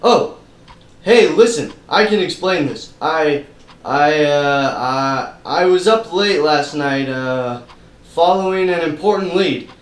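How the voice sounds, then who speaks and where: A man's voice: a little high, expressive, takes it slowly, reverberant, distant mic. A man playing a cynical, money-minded private investigator in a noir radio play, with slangy, wisecracking tough-guy patter. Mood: flustered.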